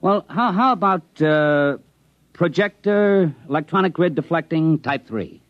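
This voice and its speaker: An actor playing a cocky American army private with a sardonic, slangy manner in 1950s radio drama style. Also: male voice, on the high side, lively intonation, unhurried, no echo. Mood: eager, explaining. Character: cocky wisecracking army private, science-fiction writer turned schemer. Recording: muffled 1950s radio recording, faint noise.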